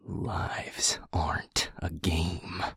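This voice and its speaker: A man acting a grim, protective vampire in an audio drama, terse and angry in a gravelly, breathy near-whisper. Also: deep voice, little pitch movement, very slow, a bit bright, dry recording, clean studio sound, exaggerated voice. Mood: seething, impatient. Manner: terse, growling, restrained anger.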